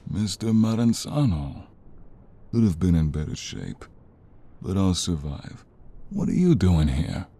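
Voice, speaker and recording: A man acts out a protective mobster husband in an audio drama. His voice is low and husky, slow and full of earnest, intense feeling. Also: big pitch swings, dark tone, exaggerated voice. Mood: strained, wary. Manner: slow, intense, emotional pleading. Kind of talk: dramatic audio role-play acting.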